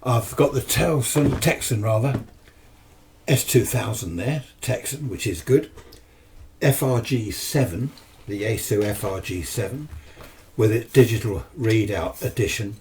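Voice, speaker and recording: A man's voice: lowish voice, unhurried, a little mellow. A British man giving a casual, unscripted hobby talk as an amateur radio enthusiast, chatty, rambling and matter-of-fact. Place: home radio shack, indoors. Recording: distant microphone, noisy room ambience.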